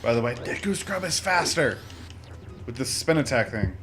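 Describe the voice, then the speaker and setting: A man's voice: medium pitch, big pitch swings, normal speed, a bit bright. A man hosting a let's play with casual, rambling gameplay commentary, often interrupting himself with joking asides. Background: video game music.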